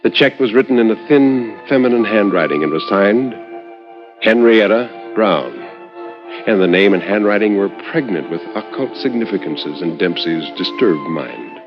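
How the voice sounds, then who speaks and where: A man narrates in the style of a 1950s radio storyteller, on a dull vintage recording. His pitch moves widely and expressively through measured literary phrasing. He lingers on words and leaves dramatic pauses. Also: animated, music in the background. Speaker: narrator reading a short story on a radio horror anthology.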